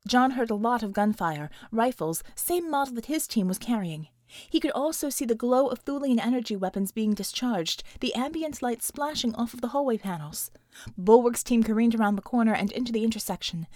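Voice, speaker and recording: A woman's voice: fairly high pitch, expressive, brisk, darkish tone, no room sound, studio quality. A woman reading dramatic action fiction as an audiobook narrator, in a scripted storytelling style.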